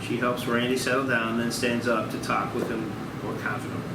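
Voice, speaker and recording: A man's voice: mid-range voice, level tone, brisk. A man reading stage directions aloud at a table read, in scripted narration. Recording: echoey room, distant mic, loud hum.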